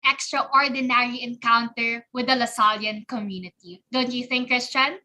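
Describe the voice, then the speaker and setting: A young woman from the Philippines co-hosts an online event. Her voice sits high and sounds very bright. She reads scripted thanks and long lists of names in an earnest way. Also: fairly even intonation, normal speed. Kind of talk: scripted hosting, closing thanks.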